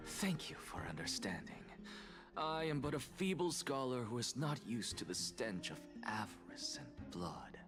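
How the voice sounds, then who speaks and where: A man's voice: mid-range voice, sing-song, unhurried, music in the background. A haughty, theatrical male scholar, swooping delivery. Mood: mock humble. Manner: theatrical, arch, disdainful. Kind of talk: fantasy video game voice acting.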